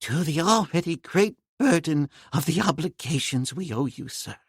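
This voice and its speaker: A man puts on a proud, fussy old professor with a husky voice that climbs and dips widely. He speaks slowly and formally, stiff with offended dignity. Storybook reading with a dry, close sound. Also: high voice, sing-song, fairly bright tone, no echo, voice pushed up, heavily acted. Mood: trembling gratitude. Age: older. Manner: formal, indignant, repeats "sir". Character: fussy elderly male professor, proud and flustered. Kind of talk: audiobook character voice.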